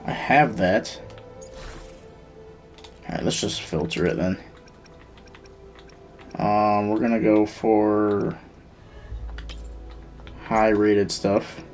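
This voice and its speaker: A man's voice: on the low side, steady tone, very slow, pauses often, a little mellow, faint music behind. A man streaming a video game, giving casual commentary and muttering to himself as he thinks aloud. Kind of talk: casual live gameplay commentary.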